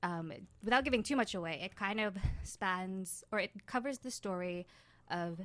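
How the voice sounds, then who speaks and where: A woman's voice: mid-range voice, moderate pace, fairly bright tone, no room sound. A woman talking casually as a guest in an interview. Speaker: guest, radio drama writer and producer.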